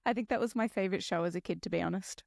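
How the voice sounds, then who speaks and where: A woman's voice: mid-range voice, very fast, vocal fry, no room sound, clean studio sound. Australian woman hosting a podcast. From Melbourne, Australia. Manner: chatty, relaxed and self-deprecating. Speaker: podcast host. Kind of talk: casual podcast conversation.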